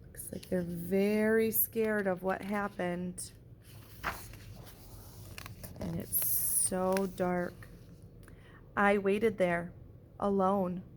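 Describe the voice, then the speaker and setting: A woman, a teacher, reading a picture book aloud and pausing to point out what the pictures show. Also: medium pitch, slight background noise. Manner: gentle, slow storybook delivery with pauses.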